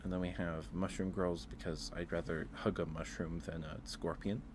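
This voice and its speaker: Male ASMR streamer, deep register, informal halting delivery, audibly noisy recording. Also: level tone. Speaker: live streamer. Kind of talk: casual ASMR livestream chat.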